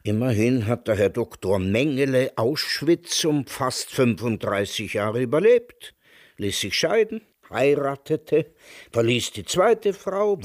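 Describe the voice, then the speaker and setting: An actor playing a melancholy, sardonic old intellectual in a radio drama, speaking in a deliberate, ironic and literate way. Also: male voice, mid-range voice, no echo, studio quality. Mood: mocking, ironic. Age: older.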